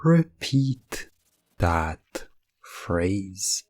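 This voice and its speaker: A man narrating scripted hypnosis ASMR in the second person, drawing out his phrases in a halting, suggestive way. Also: deep voice, very animated, a little mellow, dry recording. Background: music.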